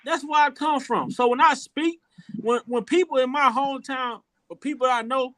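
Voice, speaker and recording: A man's voice: extremely high pitch, moderate pace, sharp tone, no echo. A man from rural Louisiana, hosting a casual opinion talk show and speaking earnestly, often repeating words as he builds a point. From rural Louisiana, USA. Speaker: podcast host.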